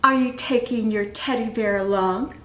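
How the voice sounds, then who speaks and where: A woman's voice: on the high side, normal speed, fairly bright tone, natural voice. A woman voicing a boy's teasing, needling sister in a storybook, in a room with echo. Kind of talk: storybook character reading. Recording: roomy, echoing room sound.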